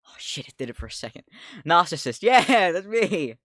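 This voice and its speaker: A man in his twenties with a deep voice, chatting casually on a livestream, rambling, laughing often, swearing and getting sidetracked. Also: very animated, normal speed, bright, forceful tone, no echo, clean studio sound. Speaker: streamer.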